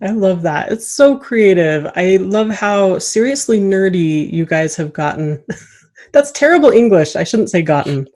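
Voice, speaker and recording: A woman's voice: medium pitch, lively intonation, a little slow, darkish tone. A woman hosting a casual interview, asking questions in an encouraging, appreciative way. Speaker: podcast host, interviewer.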